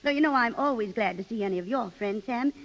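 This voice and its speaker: A woman's voice: fairly high pitch, fast talker. An actress playing a vain, flirtatious American wife in her thirties, gushing and affected, in 1930s radio drama style. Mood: condescending. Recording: dull 1930s radio broadcast recording.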